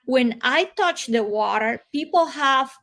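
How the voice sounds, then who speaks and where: A woman from Colombia, slowly and reflectively telling her own story as an interview guest. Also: sits high, animated. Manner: slow, reflective, with soft laughs and pauses.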